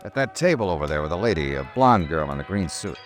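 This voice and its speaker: An actor playing a brooding, hard-boiled insurance investigator in an old-time radio noir, terse and wry in dialogue and narration. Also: male voice, deep voice, very animated, fast talker, faint music behind. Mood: subdued, guarded.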